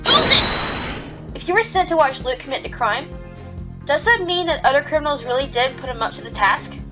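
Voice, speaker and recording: A woman's voice: sits high, expressive, moderate pace, roomy sound, recorded from a distance, over music. A woman voicing a young female rabbit defense lawyer, earnest, flustered and determined, in an audio drama. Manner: earnest, self-doubting, emphatic.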